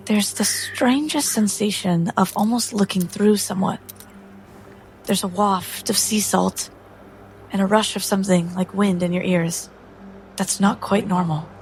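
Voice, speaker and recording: A woman's voice: mid-range voice, slight background noise, rough recording. A woman playing a tabletop game, describing her character's actions in a storytelling style.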